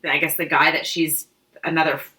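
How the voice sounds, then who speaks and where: Woman, crisp bright tone, lowish pitch, animated casual retelling. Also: a little fast, low-quality recording. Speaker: book club podcast co-host. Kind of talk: casual storytelling chat.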